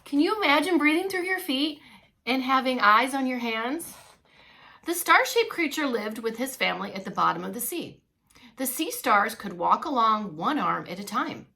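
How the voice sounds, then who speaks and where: A woman reading a story aloud to young children as a preschool teacher, expressive, with playful asides. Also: fairly high pitch, normal speed, vocal fry. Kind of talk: animated read-along children's storytelling.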